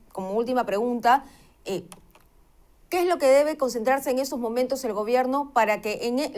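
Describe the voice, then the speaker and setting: A woman's voice: on the high side, a little mellow. A woman hosting a news interview, asking questions politely and formally. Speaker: interviewer host.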